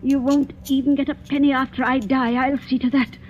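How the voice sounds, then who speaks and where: A bitter, wealthy old woman of radio horror, acted by a woman, scolding and commanding in a fairly high voice. The sound is muffled and vintage, with faint music underneath. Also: level tone, fairly quick, close to an everyday voice. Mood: cold, defiant. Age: older. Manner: imperious, scolding. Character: stern, bitter, wealthy old spinster aunt.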